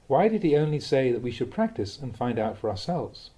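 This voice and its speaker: A man, a Buddhist monk, reading a dharma talk aloud in a measured, reflective way with the occasional soft chuckle. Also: medium pitch, very animated.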